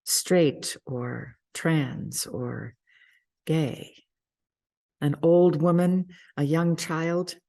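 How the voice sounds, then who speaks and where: A person speaking reflectively in a sermon-like style, with measured, deliberate phrasing and pauses. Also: mid-range voice, steady tone, vocal fry, darkish tone. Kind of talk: reflective spoken introduction to a story, sermon-like.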